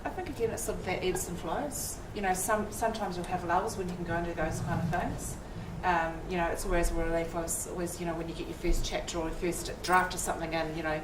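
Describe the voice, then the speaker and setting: Woman, panelist, rambling, hesitant, low voice, roomy distant sound. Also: steady tone, fast, few pauses. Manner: hesitant, rambling, many restarts. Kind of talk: panel discussion answer. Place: lecture room at an evening event. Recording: roomy hall from afar with noise.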